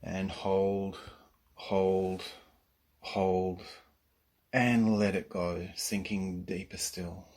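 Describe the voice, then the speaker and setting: A man leading a guided relaxation as a yoga nidra teacher, speaking in a calm, soothing way with long pauses between instructions. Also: sits low.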